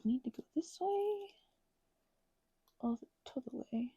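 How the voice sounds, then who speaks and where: A woman making an ASMR craft video, rambling softly with whispered asides, little exclamations and meandering self-interrupting tangents. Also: high-pitched, lively intonation, unhurried, dry recording. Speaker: ASMR creator.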